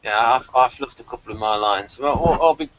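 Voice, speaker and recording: A man's voice: medium pitch, fairly quick, fairly bright tone, no room sound, lo-fi sound. A man hosting a casual panel discussion, explaining things in a chatty, emphatic way. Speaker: host of a TV show review discussion.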